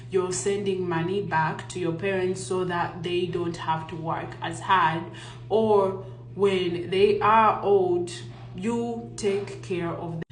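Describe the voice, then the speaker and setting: A young woman from Uganda talking casually to camera, explaining and reflecting with frequent pauses. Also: fairly low pitch, roomy sound, slight background noise, rough recording. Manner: reflective, explanatory, pausing often. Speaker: content creator. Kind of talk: casual talk to camera on culture. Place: outdoors.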